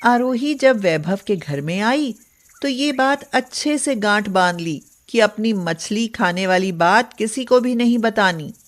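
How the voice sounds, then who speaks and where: A woman's voice: medium pitch, lively intonation, measured pace. A woman narrating a scripted moral story as a storyteller.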